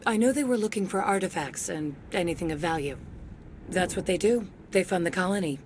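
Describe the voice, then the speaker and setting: An actress playing a jaded, resentful security officer in a video game, terse and sardonic. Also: female voice, fairly low pitch, a little fast, darkish tone, a little hiss or hum, lightly acted. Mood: guarded, jaded.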